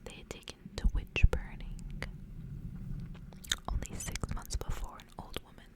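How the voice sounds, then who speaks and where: A woman whispers throughout, with no full voice behind the breath. Her delivery is soft, unhurried and intimate, in the style of an ASMR host chatting and reading aloud to the listener.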